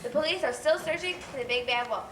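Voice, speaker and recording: A woman's voice: high-pitched, fast, fairly bright tone. A woman playing an earnest nursery-rhyme news reporter in a children's stage play. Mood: earnest, reporting. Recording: echoey stage, distant mic, faint noise.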